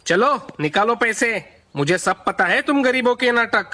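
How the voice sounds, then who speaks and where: A woman voicing a greedy, bullying male sweet-shop owner in a cartoon story, with a gruff, threatening manner and a much deeper put-on voice. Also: lively intonation, normal speed, sharp tone.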